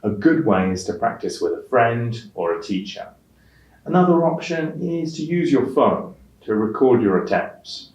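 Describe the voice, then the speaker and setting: A man teaching an English test-prep lesson in a measured, clearly enunciated way, stressing key words. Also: medium pitch, sing-song, a little mellow. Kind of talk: instructional lesson narration with read-aloud examples. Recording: roomy echo, distant microphone.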